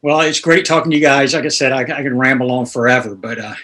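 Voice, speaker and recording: A man's voice: mid-range voice, quick pace, sharp tone. A man in his sixties from North Carolina, a guest telling rambling anecdotes in a casual interview. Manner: rambling, anecdotal, laughs through stories. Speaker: guest guitarist.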